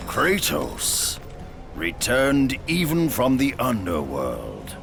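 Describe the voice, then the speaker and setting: Menacing war god, heavy gravel, slow theatrical delivery, taunting, bombastic, game cutscene, music behind. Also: male voice, mid-range voice, huge pitch swings, exaggerated voice. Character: cruel, gloating war god, huge and menacing. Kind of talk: video game cutscene acting.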